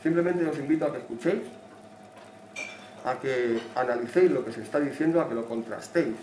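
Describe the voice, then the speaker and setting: A man's voice: mid-range voice, a little fast, darkish tone. A man in his thirties from Spain, the host of a channel, giving a defiant, emotional welcome speech into a handheld mic in a small room. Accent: Spanish from Spain. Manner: passionate, defiant. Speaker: channel host opening an event. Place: small indoor meeting room. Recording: echoey room, mic at a distance, faint noise.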